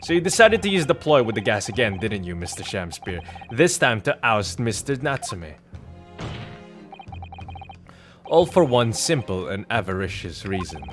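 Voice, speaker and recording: A man voicing an earnest defence lawyer from a game, reading the dialogue in a formal, measured, theatrical way. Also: fairly low pitch, sing-song, fairly bright tone. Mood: accusing. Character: earnest male defence lawyer, methodical and accusing. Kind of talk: dramatic read-aloud of game dialogue. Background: game music.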